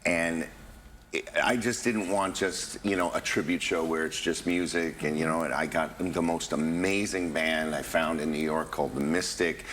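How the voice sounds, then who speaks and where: A man's voice: sits low, fairly even intonation, normal speed, fairly bright tone, a little hiss or hum. A man, an actor, being interviewed as a guest in a TV studio, talking eagerly and expressively about his show.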